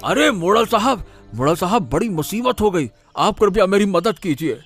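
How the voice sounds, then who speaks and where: A man's voice: high voice, expressive, normal speed, a bit bright, soft background music, exaggerated voice. A man playing a distressed, suspicious farmer in a cartoon moral story, complaining anxiously. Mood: anxious, accusing.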